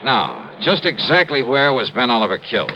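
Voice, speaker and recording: An actor playing a wry freelance insurance investigator in a 1950s radio drama, asking clipped, skeptical questions. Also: male voice, medium pitch, wildly swooping pitch, normal speed, muffled recording. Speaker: investigator and narrator. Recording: old radio broadcast with loud hiss.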